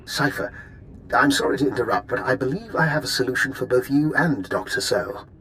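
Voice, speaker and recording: An actor playing a polite, resourceful scientist in a video game, speaking articulately and courteously. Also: male voice, medium pitch, expressive, brisk, pushed and bright, a little hiss or hum. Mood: polite, persuasive. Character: polite, resourceful doctor scheming with a spy.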